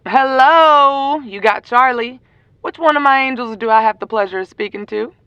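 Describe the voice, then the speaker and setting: A woman's voice: a little high, normal speed, pushed and bright, clean tone, dry recording. A woman playing a sassy, brash young friend on a phone call in an audio drama. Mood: playful greeting.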